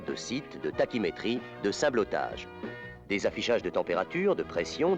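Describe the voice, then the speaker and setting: A man delivers formal instructional film narration. His voice sits fairly low and moves with very lively intonation. He reads in a measured, declarative documentary style, with music clearly playing behind him. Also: dull audio.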